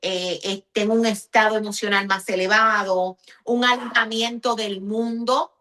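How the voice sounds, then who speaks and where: A woman's voice: medium pitch, fairly even intonation, unhurried, sharp tone. A woman with a Puerto Rican accent, a pastor teaching conversationally in an interview, explaining with emphatic repetition and checking that the listener follows. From Puerto Rico.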